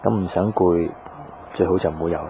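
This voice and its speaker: A man's voice: sits low, fairly even intonation, a little fast, gravelly, warm tone, a little hiss or hum. An actor playing a weary, guarded young man in a Cantonese radio drama, speaking in a subdued, reflective way. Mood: tired.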